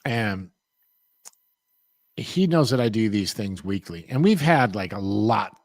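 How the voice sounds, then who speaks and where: A man's voice: on the low side, lively intonation, slow, dry recording. Laid-back rambling male podcast host. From San Diego, USA. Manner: rambling, laid-back, says "man" a lot. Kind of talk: casual interview chat.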